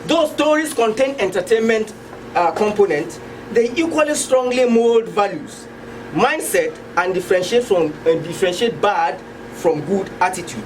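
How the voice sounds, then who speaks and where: A woman's voice: medium pitch, lively intonation, moderate pace. A woman with a Nigerian accent giving a scripted introduction as a project presenter. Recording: noisy room, distant microphone.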